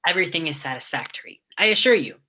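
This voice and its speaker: A woman's voice: sits low, animated, racing, bright, forceful tone, slight background noise. A young woman acting a deferential male landscape gardener in a staged reading, obsequious and apologetic. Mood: placating. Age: young adult. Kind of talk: staged reading of a period comedy.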